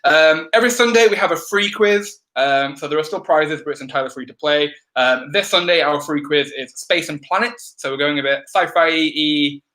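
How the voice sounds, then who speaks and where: A man's voice: a little high, moderate pace, hardly any pauses, bright, forceful tone. A man hosting an online quiz, giving chatty instructions full of ums, his voice a little crackly and throaty. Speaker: quiz host. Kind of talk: live-stream housekeeping announcements.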